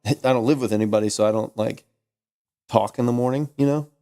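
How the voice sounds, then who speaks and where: A man's voice: medium pitch, fast, warm tone. A tired young man with a slightly lost voice, chatting casually on a live art stream and telling a rambling story with lots of "like". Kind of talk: casual chat.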